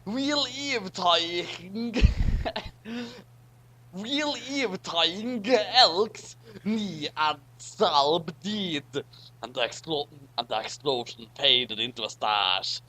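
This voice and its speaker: A man giving a halting, theatrical comedic reading of a story as co-reader. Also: high voice, expressive, sharp tone. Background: music.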